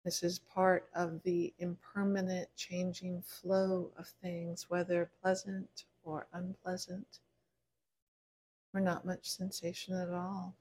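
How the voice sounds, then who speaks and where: A woman meditation teacher leading a guided body scan in a gentle, unhurried way, pausing between short phrases. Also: fairly low pitch, monotone, slightly rough.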